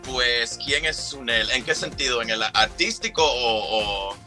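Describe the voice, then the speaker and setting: Cuban guy in his thirties, super bright tone, easygoing, laughs a lot. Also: medium pitch, a little slow, soft background music. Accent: Cuban Spanish. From Cuba. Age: 30s. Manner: relaxed, chatty, laughs often, many fillers. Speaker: guest, drag performer and singer. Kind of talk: casual interview chat.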